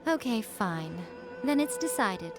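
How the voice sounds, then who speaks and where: A woman's voice: on the high side, very animated, measured pace. A woman voicing a sly, playful female shrine priestess who drawls and teases in a video game. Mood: teasing. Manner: teasing, amused, drawling. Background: game background music.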